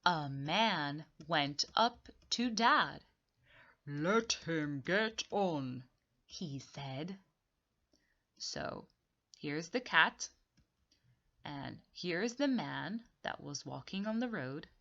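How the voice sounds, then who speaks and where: A lower-voiced woman guides young children through a read-along story lesson. She explains deliberately and repeats key words, with highly animated pitch movement. The recording is a little dull and lo-fi. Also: vocal fry. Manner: slow, deliberate explaining with repetition. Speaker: teacher reading aloud.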